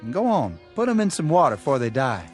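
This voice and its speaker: A man's voice: on the high side, huge pitch swings, fairly quick, a little mellow, faint music behind. A man playing a folksy, easily wounded young suitor with a rural American accent in a radio drama. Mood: courting. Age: young adult.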